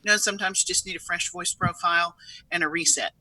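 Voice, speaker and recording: Woman, expert interview guest, very bright sharp tone, earnest, emphatic repetition, conversational, dry sound. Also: lowish voice, fairly even intonation, quick pace, no room sound, rough recording. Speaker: interview guest, software company CEO.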